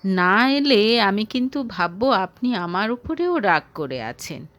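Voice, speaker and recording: A woman's voice: medium pitch, sing-song, a little slow, a bit bright. A woman voicing a friendly, courteous and persuasive young man in an audio story. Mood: coaxing.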